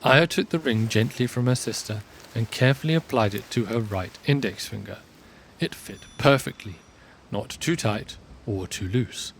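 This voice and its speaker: A man's voice: mid-range voice, normal speed, gravelly, a little hiss or hum. A man giving scripted narration for a fantasy audio drama, in the style of a storybook. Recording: music bed under voice.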